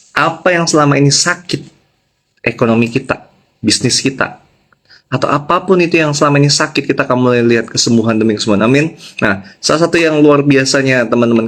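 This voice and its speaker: Guy pastor doing an online sermon, medium pitch, emphatic, keeps repeating stuff and checking in with listeners. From Indonesia. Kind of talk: devotional sermon to an online audience.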